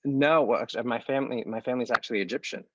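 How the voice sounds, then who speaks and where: A man in conversation about his family and work, talking haltingly with many fillers and restarts over a video call. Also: mid-range voice, fairly bright tone, dry recording, lo-fi sound. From United States. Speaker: artistic director. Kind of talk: conversational interview.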